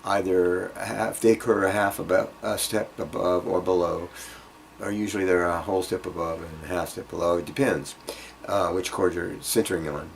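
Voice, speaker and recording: Low-voiced man teaching guitar. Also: gravelly, a little hiss or hum. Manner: halting, thinking aloud while demonstrating on guitar. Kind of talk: informal instructional music lesson. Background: acoustic guitar playing.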